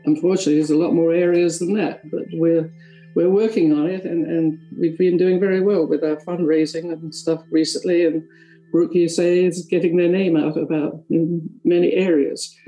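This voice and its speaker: An older woman with an English accent, a charity board member answering interview questions in a halting, rambling way. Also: lowish voice, flat delivery, warm tone, faint music behind, low-quality recording. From England. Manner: halting, rambling with many restarts.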